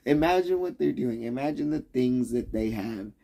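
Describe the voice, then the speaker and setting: A man's voice: mid-range voice, lively intonation, moderate pace, gravelly, warm tone. A man on a livestream, talking in a profane, rambling rant that keeps restarting its sentences and challenges the listener.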